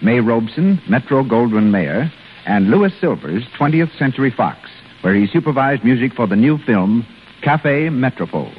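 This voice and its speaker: An American male announcer delivers scripted lines in 1930s radio style, with animated rises and falls at a medium pitch. The recording is phone-thin and lightly noisy. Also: moderate pace, phone-quality sound.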